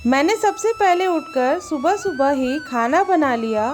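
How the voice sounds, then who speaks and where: A woman's voice: sits high, lively intonation, a little slow, soft background music. A woman voicing a farmer's scheming young wife in a moral story cartoon, with an exclamatory, dramatic delivery. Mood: dutiful.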